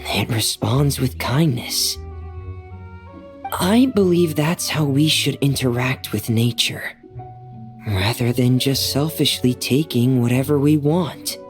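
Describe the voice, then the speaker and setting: Low, slow, shy young diver. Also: female voice, soft background music. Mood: earnest, wistful. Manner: hesitant, soft-spoken, earnest. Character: shy, gentle, earnest young woman diver. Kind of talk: anime game voice acting.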